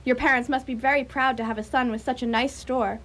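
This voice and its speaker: Woman playing high, quick-talking chatty girlfriend. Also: fairly even intonation, fairly bright tone. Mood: friendly, chatty. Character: polite, chatty girlfriend meeting the family. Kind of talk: live radio comedy drama acting.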